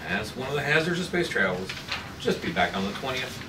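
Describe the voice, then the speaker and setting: A man reading a measured, matter-of-fact space agency chief at a script table read. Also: mid-range voice, very animated, close to an everyday voice. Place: room at a group table read. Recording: echoey noisy room, far from mic.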